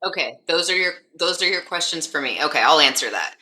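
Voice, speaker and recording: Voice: mid-range voice, lively intonation, brisk, bright, forceful tone. A podcast co-host chatting in an easygoing, hesitant way. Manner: easygoing, hesitant, with fillers and laughs. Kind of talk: casual chat.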